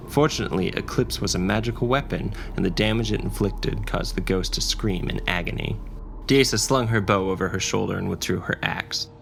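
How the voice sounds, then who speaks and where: A man's voice: fairly low pitch, creaky, a bit bright, slight background noise. A man narrating a scripted fantasy adventure story as its dungeon master and storyteller. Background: faint ambience and music.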